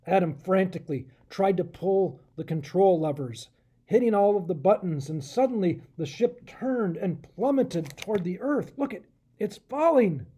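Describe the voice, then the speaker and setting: A man reads and explains a children's story like a pastor at storytime. His voice is mellow and husky, and his pitch moves a great deal as he addresses young listeners. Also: moderate pace. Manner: animated, asks the listeners questions. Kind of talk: expressive children's storybook read-aloud.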